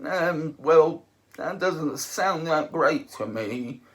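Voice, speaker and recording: A man voicing a dim, slow-thinking alien guard with a booming, hesitant delivery in an audiobook reading. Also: lively intonation, a bit bright, exaggerated voice.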